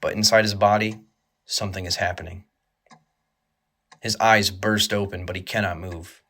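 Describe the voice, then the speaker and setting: A man narrating a scripted horror audio drama in a measured, grave manner. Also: fairly low pitch, fairly even intonation, sharp tone.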